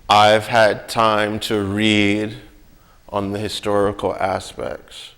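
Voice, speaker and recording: A man hosting a reflective conversation, speaking deliberately and emphatically and repeating phrases for weight. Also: fairly low pitch, creaky, fairly bright tone. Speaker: host interviewing an illustrator.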